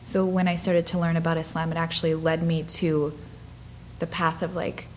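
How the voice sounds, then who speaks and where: A woman in her twenties from Minnesota, telling her life story in an interview, earnest and reflective, sometimes dropping to soft breathy asides. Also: low voice, level tone, moderate pace, a little hiss or hum, rough recording. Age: 20s.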